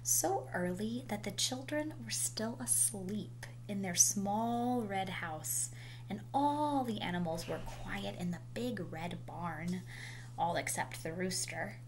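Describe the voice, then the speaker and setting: A woman reading a children's picture book aloud with animated, dramatic emphasis and drawn-out words. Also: medium pitch. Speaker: storybook reader. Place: indoors, small room at home. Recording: noisy home video recording.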